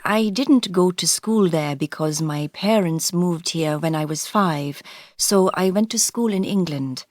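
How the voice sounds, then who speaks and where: A woman from India, raised in England, answering interview questions in a scripted textbook dialogue, clear and explanatory. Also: on the low side, unhurried, a little mellow, dry recording, studio quality.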